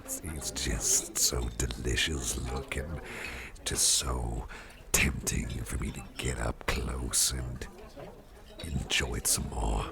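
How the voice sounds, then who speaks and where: A man playing a friendly, rambling boss in an ASMR roleplay, chatting personally to the listener in a deep, gravelly voice. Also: fairly even intonation, heavily acted. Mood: warm, flirty. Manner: rambling, chummy, halting, repeats phrases. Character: friendly, rambling male boss at a Halloween party. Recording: music playing behind the voice.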